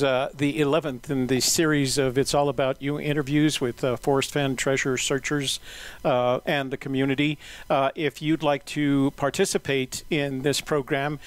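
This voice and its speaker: A man hosting an interview in a relaxed, unhurried way, often pausing with "uh". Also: mid-range voice. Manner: relaxed, many "uh" fillers, measured pace. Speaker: interviewer and podcast host. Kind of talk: casual interview.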